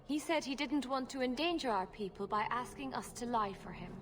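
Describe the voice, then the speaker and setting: A woman as a guarded, higher-voiced elf. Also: lively intonation, fairly quick, natural voice. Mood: guarded, cool. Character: guarded, reserved female Dalish elf. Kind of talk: video game character acting. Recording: clear background ambient noise.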